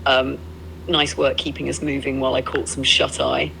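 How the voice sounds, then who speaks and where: A woman chatting casually as a supportive, upbeat co-driver companion, with a slightly creaky voice. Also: very deep voice, little pitch movement, moderate pace, a bit bright, slight background noise, poor audio. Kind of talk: casual encouraging chat.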